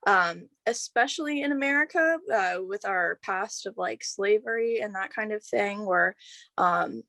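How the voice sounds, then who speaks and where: A woman's voice: medium pitch, fairly bright tone, dry recording. A young woman of twenty answers an interviewer, hesitating often and filling gaps with small sounds. The recording is close and dry. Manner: hesitant, many fillers.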